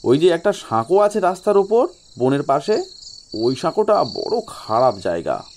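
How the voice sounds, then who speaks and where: A man playing a sly local gentleman in an audio story, giving ominous ghost warnings with feigned reluctance. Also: fairly high pitch, big pitch swings, moderate pace, a little hiss or hum, pitched above the performer's own voice. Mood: ominous, confiding.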